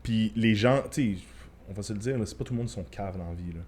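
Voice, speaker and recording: A man's voice: on the low side, fast, a little mellow, slightly rough. A young man with a Quebec French accent, a podcast guest chatting loosely, swearing and mixing in English phrases. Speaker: podcast guest, former bodybuilder and coach. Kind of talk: casual podcast chat.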